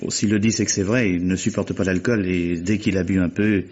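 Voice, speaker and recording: A man playing a composed, bereaved nephew in a French radio mystery play, speaking in a measured, polite, articulate way. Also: low-pitched, fairly even intonation, a little mellow, breathy edge. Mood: composed, explanatory. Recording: dull lo-fi radio recording.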